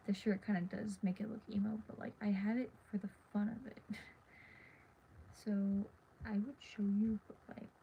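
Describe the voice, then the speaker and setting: A teenage girl livestreaming from her dark bedroom at night, talking in a tired, half-whispered ramble while losing her voice. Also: mid-range voice. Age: teens. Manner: hushed, half-whispered and rambling with many fillers. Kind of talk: casual late-night livestream chat. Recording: dull lo-fi phone audio with faint hiss.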